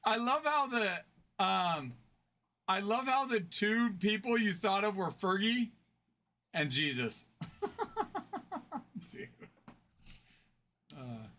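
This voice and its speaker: A man's voice: high voice, sing-song, extremely slow, pauses often, bright, forceful tone, a little hiss or hum. A man hosting a casual card-break livestream, joking around and laughing often.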